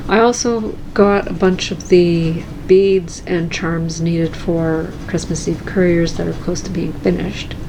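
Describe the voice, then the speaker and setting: A woman chatting casually and calmly in her cross-stitch vlog at home, soft-spoken and unhurried. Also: fairly low pitch, gravelly, noisy background. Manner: calm, slow and soft-spoken. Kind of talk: casual chat.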